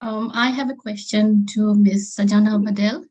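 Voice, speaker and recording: A woman from Bangladesh asking a question in an online webinar, measured and soft-spoken. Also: medium pitch, steady tone, rough recording. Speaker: audience member asking a question.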